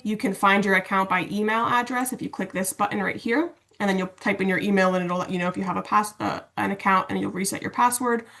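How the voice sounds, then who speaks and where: A woman giving an informal webinar walkthrough of software over a video call, explaining things in a casual, conversational way. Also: mid-range voice. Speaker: instructional designer and LMS administrator presenting.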